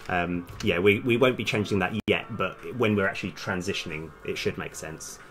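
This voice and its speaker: A man's voice: deep voice, moderate pace, fairly bright tone. A man live-streaming as a game developer, casually thinking aloud and muttering bits of code to himself in fragments. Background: background music, computer keyboard typing.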